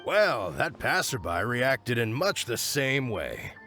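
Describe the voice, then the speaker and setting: A man's voice: medium pitch, wildly swooping pitch, slow pace, music in the background, exaggerated voice. A man voicing an unassuming secondhand seller in a video game, speaking casually and hesitantly. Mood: helpful, musing. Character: unassuming, hesitant male secondhand market seller, mild and uncertain.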